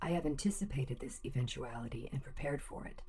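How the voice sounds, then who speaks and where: An actress playing a calm, literal-minded home computer that speaks formally and precisely in a radio drama. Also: female voice, very deep voice, fairly quick. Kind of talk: modern revival of old-time radio drama acting.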